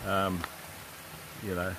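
A man with a very deep voice explains things casually and haltingly, stopping and starting often. He is outdoors by a stream, and clear outdoor noise is heard behind him. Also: completely flat delivery. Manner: halting, many fillers and restarts.

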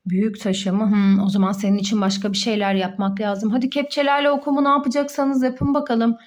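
A woman's voice: fairly high pitch, little pitch movement, fast talker. A young woman, a mother, playfully narrating toy play for children, full of exclamations and questions to young viewers.

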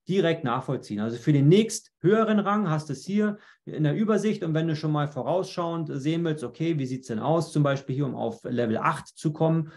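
A man's voice: fairly high pitch, brisk, darkish tone. A man presenting a webinar, walking viewers through a dashboard on screen, explaining step by step with frequent fillers and restarts. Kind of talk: screen-share walkthrough.

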